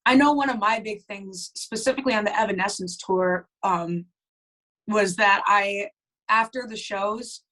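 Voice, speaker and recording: A woman's voice: medium pitch, measured pace, fairly bright tone, poor audio. A young woman, a band's lead singer, chatting casually in an interview with lots of fillers. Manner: chatty, many "like" fillers, laughs easily.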